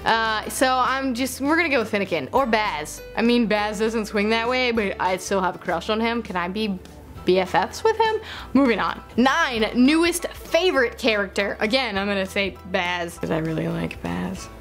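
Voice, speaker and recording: A woman's voice: mid-range voice, expressive, normal speed, a bit bright, soft background music. A woman chatting casually as a book vlogger, playful and self-interrupting, gushing and repeating words. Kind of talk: casual chatty vlog to camera.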